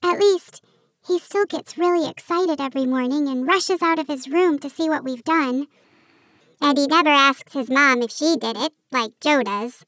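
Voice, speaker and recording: This is a jittery young female elf from a holiday storybook, voiced by a woman up in a thin, squeaky register. She sounds perpetually startled and disbelieving. The read is close and dry, with no room around it. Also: moderate pace, fairly bright tone, no room sound, poor audio. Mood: eager.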